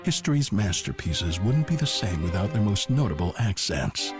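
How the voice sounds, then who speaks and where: A man's voice: deep voice, sing-song, moderate pace. A man narrating a scripted car commercial as announcer. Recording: loud music under the voice.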